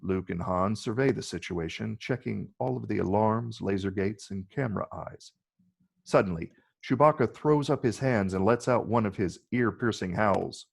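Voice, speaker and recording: Deep gravelly male narrator. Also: moderate pace. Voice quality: gravelly, husky. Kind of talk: scripted screenplay narration. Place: virtual call from separate location.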